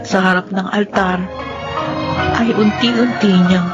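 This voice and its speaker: An actress playing a gentle, devoted blind young wife in a radio drama, speaking tenderly and pleadingly. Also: female voice, mid-range voice, fairly even intonation, slow, lo-fi sound, over loud music, natural voice. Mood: wistful. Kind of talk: radio drama acting with first-person narration.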